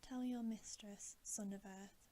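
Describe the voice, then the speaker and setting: A woman reads a bedtime story in a breathy ASMR whisper. Her delivery is slow and gentle, with little movement in pitch. The tone is soft and mellow, over a faint bed of noise. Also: steady tone. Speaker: ASMR reader.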